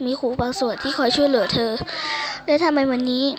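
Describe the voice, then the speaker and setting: A woman's voice: sits high, steady tone, fast, close to an everyday voice. A woman reading the part of a stern, exasperated teacher who questions a student bluntly, in a comic read-aloud. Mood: probing.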